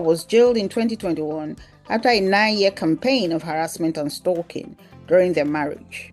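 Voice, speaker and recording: A woman's voice: medium pitch, darkish tone. A woman narrating a scripted news article aloud as a newsreel presenter.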